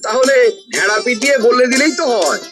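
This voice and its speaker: A carping courtier in a Bengali cartoon. His voice is extremely high and needling. Loud music plays behind it. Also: animated, a little fast. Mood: sly complaint. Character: carping male royal courtier, tattling to king.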